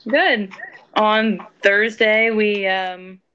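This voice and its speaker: A woman's voice: mid-range voice, steady tone, drawn-out words, sharp tone, clear, resonant tone, muffled recording. An American woman chatting casually on an online video call. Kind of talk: casual chat.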